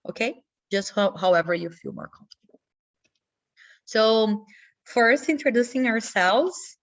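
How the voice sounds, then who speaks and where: A woman's voice: fairly high pitch, lively intonation, a little slow. Young brazilian woman walking a video call thru the agenda, friendly, a bit muffled audio. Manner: friendly, instructive, non-native English with slips. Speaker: webinar host. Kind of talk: online info session presentation.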